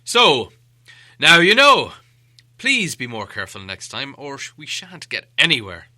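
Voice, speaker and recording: A man's voice: mid-range voice, huge pitch swings, a little slow, bright, forceful tone, no room sound, full character voice. A man voicing a commanding old wizard from a storybook, testy and emphatic, lecturing as he goes. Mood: explaining, stern. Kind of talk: acted audiobook dialogue.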